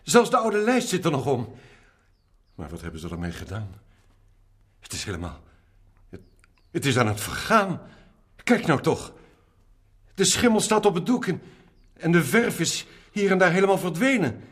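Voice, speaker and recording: Husky, emotional old man. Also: fairly high pitch, sing-song, normal speed, long pauses, fairly bright tone, exaggerated voice. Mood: shocked, dismayed. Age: older. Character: elderly man seeking his father's stolen painting, emotional. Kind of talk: radio drama acting.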